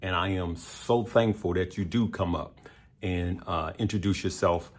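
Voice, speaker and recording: A man with a very deep voice, worn hoarse and nearly gone, hosts his own investing channel. He speaks casually and emphatically, pressing his points and checking in with his audience over and over. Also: a little slow. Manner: emphatic, addresses viewers directly with repeated "okay?" and "right?". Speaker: stock and crypto channel host. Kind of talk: casual direct-to-camera advice.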